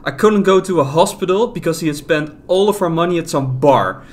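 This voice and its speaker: A man's voice: a little high, brisk, natural voice. An actor playing a wounded, defensive young man, a runaway boyfriend in an audio drama. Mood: bitter, defensive. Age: young adult. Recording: faint noise with ambience behind.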